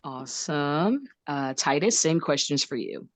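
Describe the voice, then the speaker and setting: A woman's voice: deep voice, expressive, unhurried, no echo. A woman hosting an unscripted dating show, asking contestants questions. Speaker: host.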